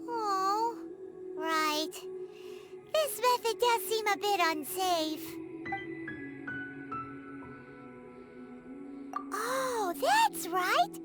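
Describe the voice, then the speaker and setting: A woman voicing a tiny, excitable, childlike fairy companion in a video game, squeaky and exclamatory. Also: heavily acted. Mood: thoughtful, hesitant. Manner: refers to herself in third person, exclamatory. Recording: loud game music behind.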